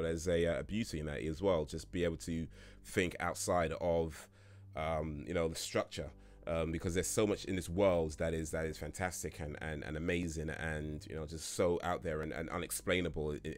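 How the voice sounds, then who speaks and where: A man in his late thirties hosting a casual livestream Q&A, rambling through chat questions with lots of fillers and restarts and frequent chuckles. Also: sits low. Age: 30s.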